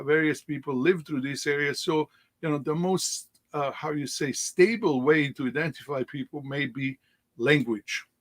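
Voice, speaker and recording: A man with a Bosnian accent gives an online slide presentation over a video call. His voice sits a little high. He speaks haltingly, with fillers and restarts, and keeps checking in with "right?". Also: a bit bright. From Sandžak, former Yugoslavia. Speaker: guest speaker.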